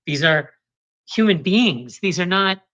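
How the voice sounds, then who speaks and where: Impassioned low-voiced woman co-host. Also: animated, a little slow, fairly bright tone. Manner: impassioned, indignant. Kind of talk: casual chat. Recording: slightly dull lo-fi recording.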